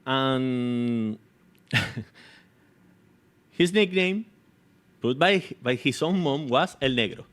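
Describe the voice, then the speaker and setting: A man from Venezuela, a Spanish speaker co-hosting a podcast in casual, halting talk, repeating phrases as he searches for words. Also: medium pitch, animated, a bit bright. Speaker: podcast co-host. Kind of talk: casual anecdotal chat.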